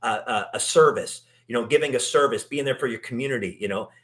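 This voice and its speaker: A man's voice: medium pitch, fast, a bit bright. A man hosting a casual interview, talking in long rambling turns full of restarts and fillers. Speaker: podcast host. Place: in Italy.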